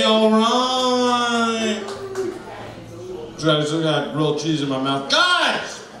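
A man hosting an open mic on a small bar stage, hyping the crowd and introducing the next comic into a handheld mic. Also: extremely high pitch. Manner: hypes the crowd, drawn-out shouted intros. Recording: very echoey bar room.

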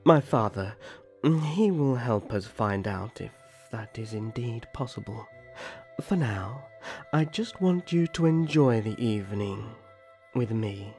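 A man playing a gentle, courtly young elf prince in a roleplay audio drama, speaking formally and graciously. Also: on the low side, lively intonation, faint music behind. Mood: gentle, wistful. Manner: formal, gracious, measured storytelling.

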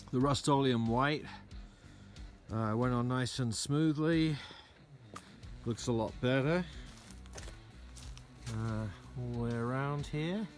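Relaxed man explaining a DIY project. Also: mid-range voice. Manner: relaxed, lots of "uh" and "um". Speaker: DIY vlogger. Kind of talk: casual how-to narration. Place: outdoors in a yard.

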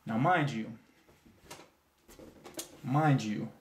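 A man's voice: mid-range voice, drawn-out words, a little hiss or hum. Rambling congested man reviewing toys. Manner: rambling, frequent fillers and restarts. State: congested from a cold. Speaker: toy reviewer. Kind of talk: casual review.

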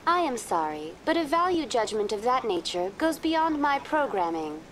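A woman voicing a polite virtual guide program in a video game, formal and measured, narrating like a reference source. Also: fairly high pitch, sing-song, fairly bright tone. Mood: courteous. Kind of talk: scripted game lore narration. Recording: faint ambient noise behind.

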